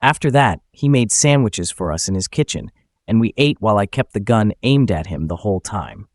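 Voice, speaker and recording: Man narrating a story, lively pitch swings. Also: moderate pace, no room sound. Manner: acts out the dialogue. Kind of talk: scripted first-person story narration.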